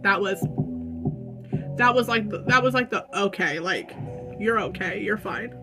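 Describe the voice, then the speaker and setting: A young woman with a loud voice answering viewer questions in a casual vlog, chatty and saying "like" a lot. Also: mid-range voice, a little slow, over music. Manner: chatty, says "like" often. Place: room at home with dogs.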